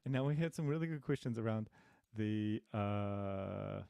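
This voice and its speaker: A man's voice: on the low side, expressive, measured pace, creaky, warm tone, clean tone, no room sound, studio quality. A man teaching programming on a casual live stream, answering questions and thinking aloud with many fillers and restarts, friendly and self-deprecating. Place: home desk, dim room.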